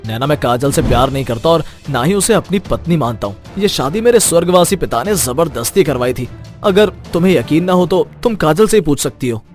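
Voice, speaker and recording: A man plays a selfish young village husband who talks smoothly in a cartoon story. His voice sits a bit high, over faint music. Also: expressive, fairly quick, natural voice. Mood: defensive. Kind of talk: Hindi moral-story cartoon dubbing.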